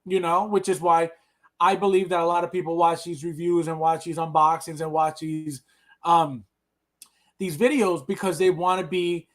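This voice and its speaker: High-voiced Colombian-born podcast host. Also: male voice, level tone, normal speed. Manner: rambling, acts out imagined remarks from others. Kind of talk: casual podcast chat.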